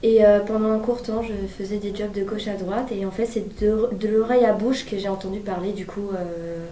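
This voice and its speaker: Chatty young woman, mellow, distant. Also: mid-range voice, fairly even intonation, normal speed. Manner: chatty, stumbling over words. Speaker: civic service volunteer. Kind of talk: personal testimonial. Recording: roomy distant recording, faint noise.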